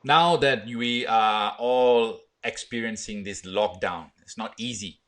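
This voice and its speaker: Chatty lower-voiced podcast host, a man. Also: takes it slowly, a bit bright. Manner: chatty, joking, rambling questions. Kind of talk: casual sports interview.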